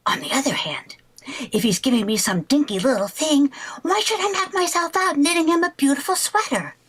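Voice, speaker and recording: Actress doing a scheming old-time radio comedy wife, high voice, coaxing, super lively. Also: female voice, fairly quick, slight background noise. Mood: eager, curious. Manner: coaxing, theatrical. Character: scheming, wheedling 1940s radio housewife, playful wife. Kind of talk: 1940s radio comedy acting.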